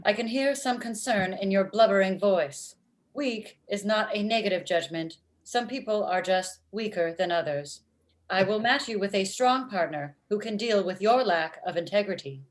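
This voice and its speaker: A woman playing a blunt, sarcastic old-timey robot with slightly stilted, deadpan speech, reading a comedy script. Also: medium pitch, normal speed, natural voice. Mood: blunt, unfeeling. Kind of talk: table read of a comedy script. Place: indoor room at home, video call. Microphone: computer mic on video call.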